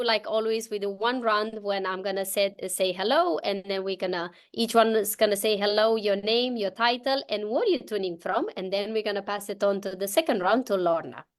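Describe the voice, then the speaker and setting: A woman with a Romanian accent hosts an online circle over a video call. Her pitch sits at a middle level, and her talk is bubbly and full of laughter. She trips over words and restarts often. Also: moderate pace. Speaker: host. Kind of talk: casual online group welcome.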